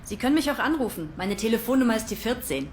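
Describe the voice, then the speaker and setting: An actress playing a sociable, forthcoming Danish diplomat who chats as a neighbour in a period film. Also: female voice, on the high side, big pitch swings, quick pace, a little hiss or hum. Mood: inviting. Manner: chatty, forthcoming. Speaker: film actress in a supporting part.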